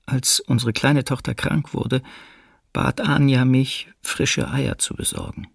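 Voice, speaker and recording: Man in hiding, very gravelly, husky, wary, subdued, measured first-person radio drama narration. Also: medium pitch, steady tone, no room sound, clean studio sound, exaggerated voice. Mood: sober, subdued.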